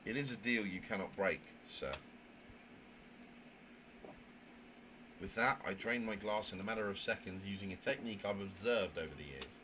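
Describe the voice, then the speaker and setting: A man acts out a prim young visual novel character who narrates in fussy, theatrical phrases. The voice sits fairly low and sounds indignant and proper. The recording carries noticeable noise. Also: a little fast, bright, forceful tone. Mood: haughty. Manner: wordy, fussy, theatrical diction. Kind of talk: dramatic reading of a visual novel. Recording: noisy home microphone recording.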